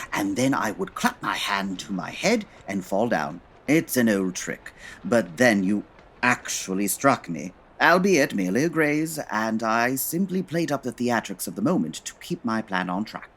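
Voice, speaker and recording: An actor playing a haughty, brilliant gentleman detective in a comedy audio drama, speaking formally with precise diction. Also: medium pitch, sing-song, moderate pace, husky, full character voice. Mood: smug, explaining.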